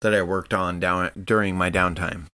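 A man's voice: low-pitched, little pitch movement, normal speed, sharp tone, smooth. A man casually narrating his character's actions in a tabletop game, in a loose, rambling way.

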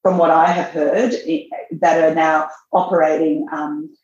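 A woman, a magazine editor answering interview questions in a measured way, thinking aloud as she goes. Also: low voice, fairly even intonation, a little mellow, slight background noise. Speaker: fashion magazine editor, guest. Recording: roomy, dull lo-fi sound.

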